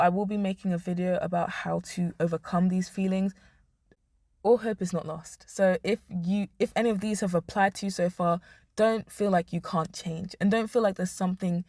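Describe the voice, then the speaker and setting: A young woman vlogger, hoarse, giving blunt, emphatic commentary to the camera. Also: fairly low pitch, darkish tone, no room sound, studio quality. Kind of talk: opinionated talking-head commentary.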